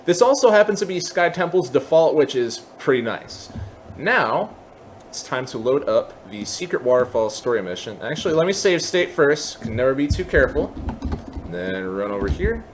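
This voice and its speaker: A man hosting a casual software tutorial, explaining things step by step in an informal way. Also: mid-range voice, sing-song, moderate pace, a little hiss or hum. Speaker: tutorial host.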